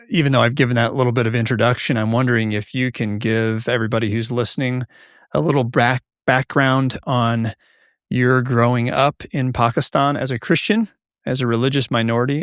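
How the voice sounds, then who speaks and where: A man hosting a conversational podcast for pastors, reading a measured introduction and then asking questions. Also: medium pitch, dry recording, clean studio sound. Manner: measured, warm formal welcome, reads intro. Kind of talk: scripted intro then interview.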